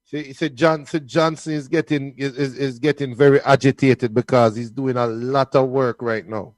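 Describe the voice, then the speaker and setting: A man offers casual analysis during a live football match. His voice is medium in pitch and recorded dry, without room sound. He often repeats the opening of a phrase before making his point. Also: steady tone, normal speed, no echo. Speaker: co-commentator.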